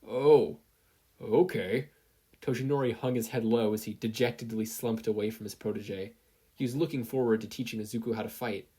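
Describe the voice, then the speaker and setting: A podfic reader giving an animated, scripted narration of a fan fiction story. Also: medium pitch, fast talker, breathy edge.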